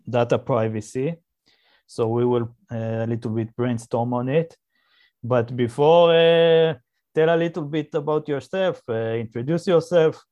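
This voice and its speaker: A male interviewer who speaks slowly, repeating phrases and pausing with hesitations. His voice comes through a dull, lo-fi recording that sounds dry and close. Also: mid-range voice, darkish tone, dry recording. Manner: halting, repeats himself. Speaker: interview host. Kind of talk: casual interview questions.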